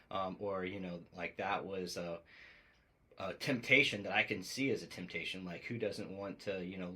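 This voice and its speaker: A man in his forties with a very low, deep voice chats on a casual podcast. He tells his story in a reflective, rambling way, full of fillers and restarts. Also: sharp tone. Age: 40s. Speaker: podcast co-host.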